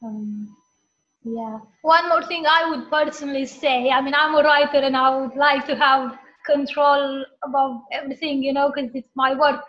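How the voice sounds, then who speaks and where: Woman interviewer, high voice, chatty, rambling, muffled lo-fi sound. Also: steady tone, moderate pace. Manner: chatty, rambling questions with fillers. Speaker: interview host. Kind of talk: casual interview chat. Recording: muffled lo-fi call audio.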